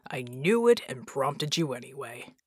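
A woman voicing a calm, steady man with a rumbling voice and a deliberate delivery in an audiobook story. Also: husky, no echo, clean studio sound, exaggerated voice. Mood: gruff resignation.

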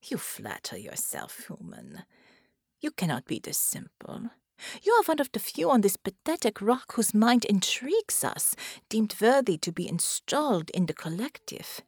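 A bored, haughty alien giantess commander, voiced by a woman narrator. She drawls with hushed, languid contempt, with lively swings in pitch. The sound is close and dry. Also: unhurried, breathy edge, no room sound, heavily acted. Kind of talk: audiobook narration.

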